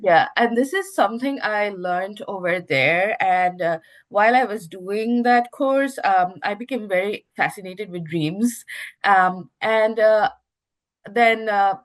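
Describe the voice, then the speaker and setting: A young woman from Bangladesh whose first language is Bangla, answering interview questions earnestly with many pauses and fillers. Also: medium pitch. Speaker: guest, research award winner. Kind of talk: casual interview talk.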